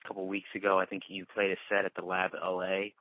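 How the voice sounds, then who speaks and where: A man's voice: low voice, monotone, quick pace, slight background noise. A man conducting a casual interview over the phone, asking questions in an informal, chatty way. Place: on a phone call. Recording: narrow, dry phone-call audio.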